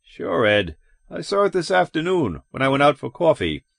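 A man's voice: fairly high pitch, big pitch swings, normal speed, creaky, no room sound, studio quality, pitched above the performer's own voice, full character voice. Man doing a breezy, unconcerned shopkeeper neighbor. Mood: offhand, hurried. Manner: casual, offhand. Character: breezy, unconcerned male shoe-shop neighbor.

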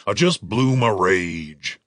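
A man voicing a gruff, blunt barbarian warrior with a slow, laid-back delivery in an audiobook. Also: fairly low pitch, animated, fairly bright tone, dry recording, clean studio sound, voice pushed down. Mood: flat, unbothered.

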